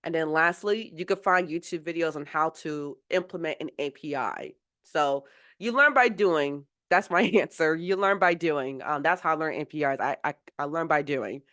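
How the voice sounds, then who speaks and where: A woman's voice: low-pitched, moderate pace, a bit bright. A woman teaching a live mentoring session while getting over a cold, explaining in a casual, rambling way with many fillers and restarts. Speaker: software engineering mentor.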